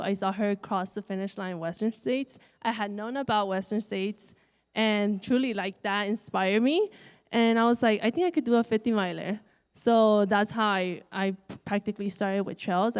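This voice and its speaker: A woman's voice: mid-range voice, darkish tone, clear, resonant tone. A woman runner, a guest in a live interview, telling her own story in a casual way. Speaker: guest, ultrarunner. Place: live event stage.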